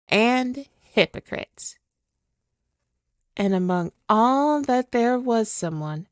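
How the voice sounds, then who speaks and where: A woman's voice: mid-range voice, a bit bright, no room sound, clean studio sound. A woman playing a spirit villain possessing a woman's body, obsessive and tormented, in a theatrical, brooding ASMR roleplay monologue. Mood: wistful storytelling. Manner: slow, theatrical, brooding, dwelling on words.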